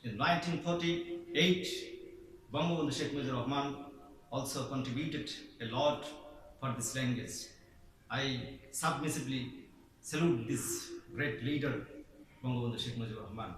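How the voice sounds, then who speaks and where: A man's voice: medium pitch, moderate pace, a little hiss or hum. A man from Bangladesh with a Bangladeshi accent, an English teacher giving a formal, declamatory speech with emphatic stresses, recorded from a distance in an echoey room. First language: Bengali. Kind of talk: prepared speech.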